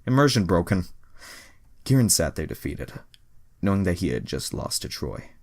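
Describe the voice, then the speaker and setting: A man's voice: deep voice, sing-song, normal speed. A man reading a story aloud in a mock-dramatic way, casually breaking into profane commentary. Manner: profane, exasperated asides. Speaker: reader and commentator. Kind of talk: mock-dramatic reading of crude fan fiction with casual asides.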